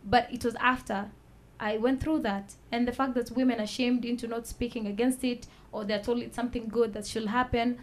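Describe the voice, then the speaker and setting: A woman telling her own story as a talk-show guest, soft-spoken and measured, pausing with fillers. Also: fairly high pitch, fairly even intonation. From Kenya. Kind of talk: personal testimony in a talk show.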